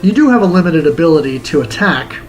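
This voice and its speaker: A man with a fairly high voice narrates a scripted game review as the show's host. His delivery is measured and wordy, like a presenter explaining things. Music plays softly behind him. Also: big pitch swings. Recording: music bed under the voice.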